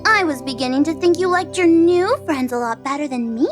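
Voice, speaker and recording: Woman playing a very high, bubbly teasing death goddess. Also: a little fast, over music, lightly acted. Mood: playful. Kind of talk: amateur audio drama acting.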